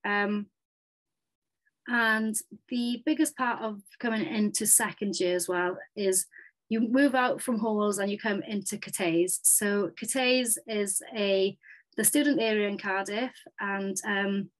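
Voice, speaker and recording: A woman's voice: medium pitch, unhurried, low-quality recording. Chatty Welsh woman in her twenties. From Wales. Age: 20s. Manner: upbeat, chatty, with frequent ums. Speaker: physiotherapy student. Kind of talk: presentation over slides. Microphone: webcam on a video call.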